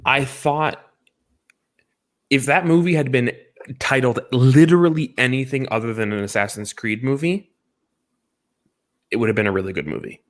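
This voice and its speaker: A man's voice: medium pitch, normal speed, long pauses. A man co-hosting a casual film discussion, giving emphatic opinions and repeating points for stress. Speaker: podcast co-host reviewing films.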